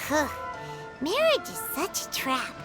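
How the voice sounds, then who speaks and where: A woman voicing a tiny, naive, excitable fairy companion in a video game, with a squeaky childlike voice and a cutesy manner. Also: takes it slowly, over music, exaggerated voice. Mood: musing. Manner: cutesy, refers to herself in third person. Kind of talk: scripted video game character dialogue.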